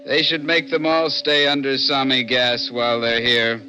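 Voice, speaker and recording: Sharp, callous prison guard. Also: male voice, mid-range voice, flat delivery. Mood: callous, offhand. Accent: American. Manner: brisk, offhand, hard. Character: callous, matter-of-fact male prison guard on duty. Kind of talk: 1960s radio drama acting. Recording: muffled old radio, faint music behind.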